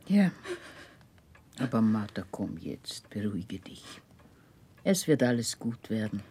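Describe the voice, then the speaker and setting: An actress playing a kindly older aunt in a 1960s German radio drama, with a husky voice and a soothing, motherly manner. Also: very deep voice, big pitch swings, takes it slowly, mellow, exaggerated voice. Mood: comforting.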